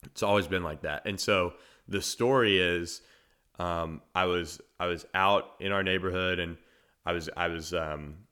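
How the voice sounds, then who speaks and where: A man with a very low, naturally deep voice that carries a forceful, pressing edge. He hosts a solo sports podcast, breaking down players and telling stories in a loose, conversational way. He often restarts his phrases as he thinks aloud. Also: fairly even intonation, a little slow, clear, resonant tone. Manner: conversational, analytical, frequent verbal restarts. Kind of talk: solo podcast commentary and storytelling.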